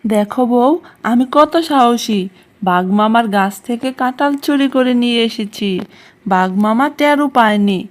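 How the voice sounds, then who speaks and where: A sly male cartoon fox, acted by a woman, with a highish voice. He is cocky and mischievous, bragging about his cleverness and brushing off every worry. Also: unhurried, close to an everyday voice. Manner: boastful, breezily dismissive. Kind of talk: children's cartoon character acting.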